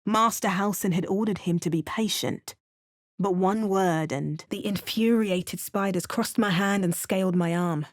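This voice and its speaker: A woman playing a wry, determined adventurer in a fantasy video game, speaking in a measured, theatrical way. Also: medium pitch, no echo, studio quality, natural voice. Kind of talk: video game voice lines, dramatic narration and incantations.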